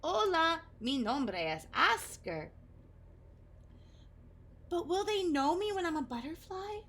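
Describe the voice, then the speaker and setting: A woman voicing Oscar, a small eager young male caterpillar who becomes a moth, in a high, wide-eyed, excitable way. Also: a little fast.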